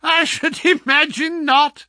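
A man's voice: sits high, very animated, slow, bright, forceful tone, no room sound, voice pushed up, full character voice. A man voicing a gloating, sneering villain in an audiobook story, with an insistent, mocking manner. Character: gloating, sneering villain visiting a sick enemy. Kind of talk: audiobook character acting.

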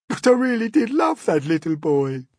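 Man as storybook earthworm, grumpy, high, loud, plaintive, emotional, rough-edged. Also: very animated, normal speed, no room sound, studio quality, full character voice. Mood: sobbing.

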